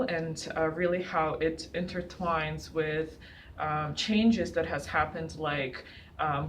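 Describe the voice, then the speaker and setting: A young woman artist speaking in an interview at a gallery, explaining her artwork with frequent pauses and fillers. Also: sits low, a little hiss or hum. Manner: hesitant, many ums and uhs. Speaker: exhibiting artist. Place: art gallery, indoors.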